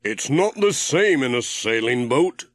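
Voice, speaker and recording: A man voicing a brisk, confident, hearty amateur sailor who gives bossy instructions in a children's audiobook. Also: medium pitch, expressive, no echo, close to an everyday voice. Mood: instructing. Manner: confident, instructing, calling out loudly.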